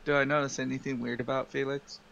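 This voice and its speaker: A man's voice: mid-range voice, little pitch movement, fast, a bit bright, muffled recording. A man casually narrating his own character's actions in a tabletop roleplaying game. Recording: clearly noisy background.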